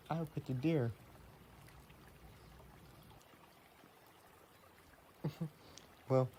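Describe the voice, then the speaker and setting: A man's voice: mid-range voice, warm tone, a little hiss or hum, lightly acted. A man acting as a possessive, romantic vampire boyfriend in an intimate audio roleplay, tender and teasing as he talks to the listener. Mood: musing, joking.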